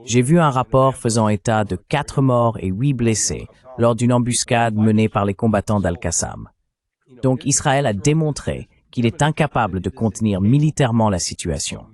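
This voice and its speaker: A man's voice: medium pitch, a little mellow. A man's synthetic dubbing voice reading translated political commentary as a discussion panelist.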